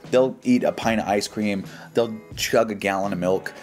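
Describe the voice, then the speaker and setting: A man's voice: lowish voice, moderate pace. A man giving casual, slangy, skeptical commentary and reading posts aloud in a joking way. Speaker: host of a reaction show. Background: background music.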